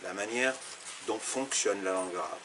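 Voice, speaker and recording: A man's voice: fairly low pitch, moderate pace, noisy background. A man from Algeria, an Arabic teacher, answering interview questions in a thoughtful, explanatory way, with fillers and restarts.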